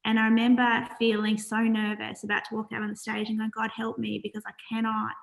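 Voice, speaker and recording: A soft-spoken woman, a charity founder, talking earnestly in a casual interview with many pauses and fillers. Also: fairly high pitch, completely flat delivery, vocal fry, low-quality recording.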